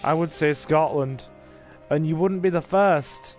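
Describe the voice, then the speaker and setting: English man, gaming streamer, laid-back chatty commentary, slightly higher voice, faint music underneath. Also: unhurried, a little mellow. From England. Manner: chatty and laid-back, talking to viewers while playing. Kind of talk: casual gameplay livestream commentary.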